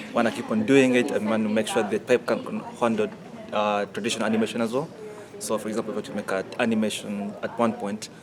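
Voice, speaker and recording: A low-voiced man from Uganda talks about his animation work in an easy, halting way. Voices chatter in the busy workspace around him. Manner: relaxed, explains with hesitant fillers and restarts. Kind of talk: casual interview answers. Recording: crowd chatter behind.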